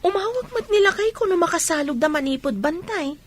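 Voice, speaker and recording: An actress playing an inquisitive, gossipy friend in an Ilocano radio drama, speaking in an inquiring way. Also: female voice, very high-pitched, lively intonation, brisk, a little hiss or hum. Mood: curious. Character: inquisitive, gossipy female friend of a soldier's wife.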